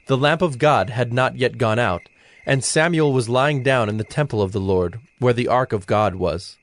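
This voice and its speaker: A man narrating scripture from a script in a measured, solemn manner. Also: medium pitch, dry recording. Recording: faint music behind the voice.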